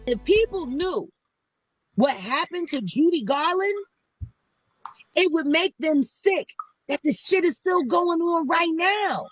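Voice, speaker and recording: A woman's voice: very high voice, expressive, slow, pauses often, low-quality recording. A woman guest in an interview, speaking bluntly and with force, often swearing. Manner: forceful, profane. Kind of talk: blunt, emphatic storytelling.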